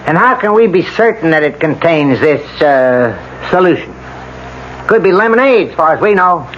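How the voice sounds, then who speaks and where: An actor playing a skeptical, scornful prosecutor in a radio drama, formal and sharply challenging. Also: male voice, on the high side, big pitch swings, normal speed. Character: combative, skeptical male prosecutor, scornful and objecting. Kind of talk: 1970s-80s radio drama acting. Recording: dull, hissy old radio broadcast.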